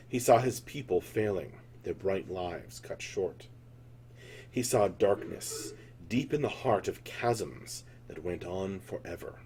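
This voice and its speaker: A man's voice: low-pitched, mellow, slight background noise. A man narrating a scripted fantasy tale as a storyteller, in a solemn, theatrical manner.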